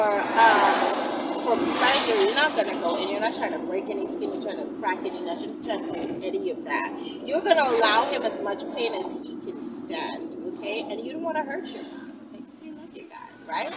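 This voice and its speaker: A woman in her thirties giving casual advice to camera in a blunt, emphatic, sweary way. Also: fairly high pitch. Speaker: vlog host. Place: inside a car on the road. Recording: distant mic, clear car road noise. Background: vehicle noise.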